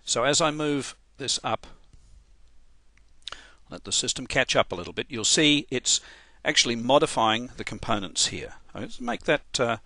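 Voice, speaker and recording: Halting Australian man, bright dry tone. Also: medium pitch, sing-song, dry recording. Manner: casual, halting, many fillers and restarts. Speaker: software trainer. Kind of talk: screen-recorded software tutorial.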